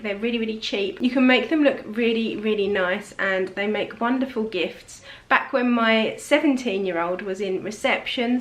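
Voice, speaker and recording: A woman in her forties with an English accent, hoarse from a cold, chatting upbeat through a home recipe tutorial. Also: a little high, a bit bright. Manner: chatty and upbeat, rambling asides. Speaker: home cooking vlogger.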